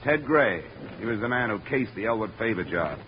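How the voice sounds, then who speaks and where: An actor playing a self-assured, wisecracking insurance investigator in a 1950s radio drama, with a wry, hard-boiled delivery. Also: male voice, lowish voice, animated, fairly quick, slight background noise, close to an everyday voice. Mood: matter-of-fact. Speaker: lead actor in a radio detective series. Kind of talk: 1950s radio detective acting and narration. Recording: muffled old radio recording, faint music.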